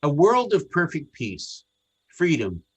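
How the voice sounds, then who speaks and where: A man reading a scripted sermon to a congregation in a measured, earnest, deliberate way. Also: on the high side, wildly swooping pitch, breathy edge. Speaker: congregational service speaker.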